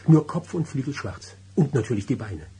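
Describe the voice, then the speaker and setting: An actor playing a secretive, brooding, superstitious middle-aged husband in a German radio drama, grave and insistent in manner. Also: male voice, mid-range voice, expressive, moderate pace, dark tone, husky. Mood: wistful, ominous.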